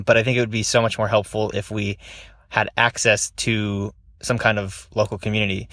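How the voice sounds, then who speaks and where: A man's voice: fairly low pitch, fairly bright tone, dry recording, clean studio sound. A man recording a casual question as a listener, polite, rambling and hesitant. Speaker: podcast listener sending an audio question. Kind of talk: casual, informal recorded message.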